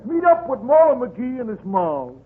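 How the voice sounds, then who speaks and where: Very high-voiced wisecracking club manager. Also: male voice, big pitch swings, normal speed. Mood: brisk, mocking. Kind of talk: 1930s radio comedy acting. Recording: tinny, very noisy 1930s radio recording.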